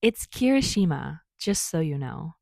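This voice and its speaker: Upbeat young barista man acted by a woman, mischievous and easygoing, lilting delivery with a little fry, for audio fiction. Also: sits low, big pitch swings, unhurried, mellow, no room sound, studio quality, heavily acted. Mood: playful. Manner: sing-song, chirpy, playful. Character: cheerful, teasing, playful young male barista.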